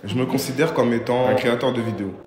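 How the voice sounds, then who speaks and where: A man's voice: mid-range voice, fairly even intonation, fast. A man in his twenties from Paris, answering interview questions casually with slang and many hesitant fillers. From Paris, France. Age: 20s. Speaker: interviewee, video creator. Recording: echoey room with music behind.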